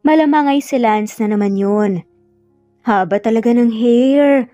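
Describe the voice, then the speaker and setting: A woman's voice: fairly high pitch, a little slow, warm tone, dry recording, highs cut off. A woman with a Filipino accent reading a scripted romance story as its narrator. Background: music.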